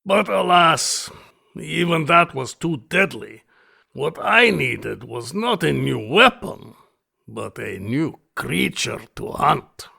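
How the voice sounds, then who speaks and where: An actor playing an alien aristocratic hunting fanatic in an audio drama, gravelly and raspy, with florid, theatrical, deliberate delivery. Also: male voice, a little high, sing-song, pushed and bright, heavily acted. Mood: sly, menacing. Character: alien aristocratic hunting fanatic, obsessive, grandiose, menacing male host. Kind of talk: audio drama villain acting.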